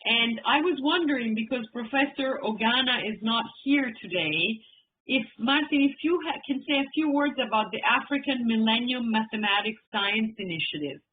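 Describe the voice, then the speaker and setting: A woman's voice: on the high side, lively intonation, moderate pace. A woman moderating a panel, curious and enthusiastic as she asks questions. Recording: phone-like online call audio with faint noise.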